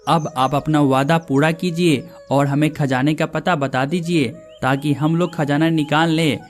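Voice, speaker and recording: A man's voice: fairly high pitch, steady tone, unhurried, faint music behind, close to an everyday voice. A man playing a lazy, scheming young village son in a cartoon story, with plaintive scripted delivery. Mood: expectant.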